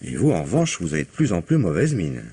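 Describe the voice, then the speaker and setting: An actor playing a probing, courteous police commissioner in a French radio mystery drama. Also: male voice, low-pitched, wildly swooping pitch, fast talker, mellow, slightly rough, exaggerated voice. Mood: politely insistent.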